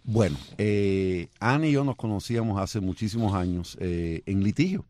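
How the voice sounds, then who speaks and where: Un abogado de inmigración cubano habla como invitado en la radio, con tono sincero y emocionado. Su voz es grave y suave. El sonido es seco y directo. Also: male voice, on the low side, animated, normal speed, a little mellow, no room sound. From Cuba. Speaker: immigration lawyer guest. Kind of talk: radio interview.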